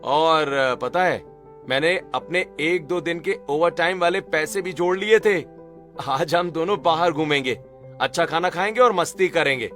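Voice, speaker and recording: Guy doing a young cartoon husband, secretly rich, high voice, reassuring, bit of music behind. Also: a little slow, fairly bright tone. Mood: affectionate. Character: young husband, secret billionaire posing as poor labourer. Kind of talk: Hindi cartoon story acting.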